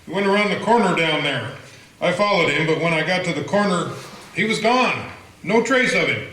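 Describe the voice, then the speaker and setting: Eager frontier townsman, played by a man, bright tone, roomy echo. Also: high voice, lively intonation, normal speed. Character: excitable townsman stable hand. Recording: echoey room.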